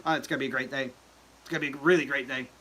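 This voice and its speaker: A man's voice: mid-range voice, steady tone, racing, bright, forceful tone, slight background noise. A man hosting a casual livestream chat, rambling with lots of fillers and joking with viewers. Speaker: livestream host.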